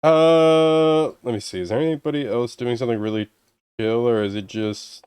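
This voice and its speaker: A young man with a deeper voice chatting casually with viewers as he ends a live stream, sounding tired and strained, relaxed and rambling. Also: normal speed, smooth, no echo. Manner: relaxed, rambling, self-deprecating.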